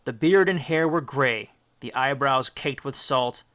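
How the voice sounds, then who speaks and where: A man reading an audiobook as dramatic, scripted storybook narration, with deliberate, theatrical phrasing. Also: medium pitch, a bit bright. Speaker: audiobook reader.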